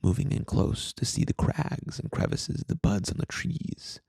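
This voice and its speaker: A man guiding a meditation in a calm, soothing, unhurried way, reading slowly with long pauses between short phrases. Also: medium pitch, vocal fry, slightly rough, dry recording, studio quality. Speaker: meditation guide, podcast host. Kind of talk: guided meditation, slow scripted narration.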